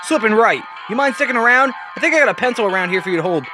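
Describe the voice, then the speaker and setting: A man's voice: high-pitched, expressive, rapid-fire, music in the background. A man voicing a cool hired gunman who talks in curt, casual remarks. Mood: coolly threatening. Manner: terse, casual.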